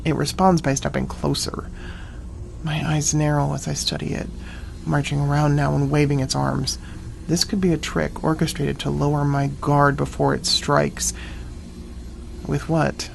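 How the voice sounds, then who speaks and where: Slow, creaky great-cat hunter narrating. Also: male voice, on the high side, flat delivery, a little hiss or hum, low-quality recording. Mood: wary, assessing. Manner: deliberate, grave, clipped phrases. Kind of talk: dramatic first-person scripted narration. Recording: music under the voice. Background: dramatic music.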